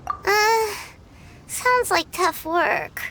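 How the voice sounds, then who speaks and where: A woman voicing a tiny, childlike, blunt and curious companion in a video game, squeaky and exclamatory. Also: lively intonation, very slow, sharp tone, a little hiss or hum, full character voice. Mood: hesitant. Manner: squeaky, exclamatory, plain-spoken.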